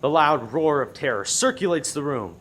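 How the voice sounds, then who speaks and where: Animated male radio play narrator. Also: fairly high pitch, moderate pace. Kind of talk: dramatic scripted storytelling.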